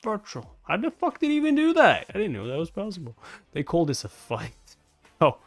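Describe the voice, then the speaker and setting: A man's voice: sits high, wildly swooping pitch, a little fast, no room sound, faint music behind. A man doing a live reaction read-along, with crude, jokey asides and plenty of swearing. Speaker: reaction video host reading comics aloud. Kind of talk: live reaction and read-along, acting out dialogue between comments.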